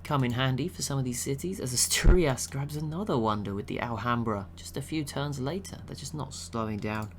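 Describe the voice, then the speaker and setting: A young man giving relaxed, chatty commentary over a strategy game he is watching, laughing to himself now and then. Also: medium pitch, brisk, few pauses, slight background noise. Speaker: gaming channel host.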